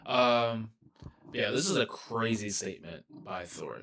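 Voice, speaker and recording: A young man talks softly and casually as he reacts to a trailer. He rambles and restarts often, filling gaps with ums and likes. His voice sits somewhat low. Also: unhurried, vocal fry. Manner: soft-spoken, rambling with many fillers. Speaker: reaction video host.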